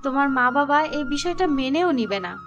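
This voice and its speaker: Cartoon role: humble poor young woman, very high girlish voice, earnest, soft music underneath. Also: lively intonation, moderate pace, muffled recording. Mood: humble, sad. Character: sweet, humble young woman, poor student and dutiful daughter. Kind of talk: cartoon dialogue.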